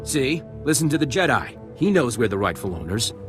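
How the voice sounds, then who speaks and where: An actor playing an indignant, entitled settler in a video game, aggrieved and demanding. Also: male voice, medium pitch, big pitch swings, a little fast, music in the background, lightly acted.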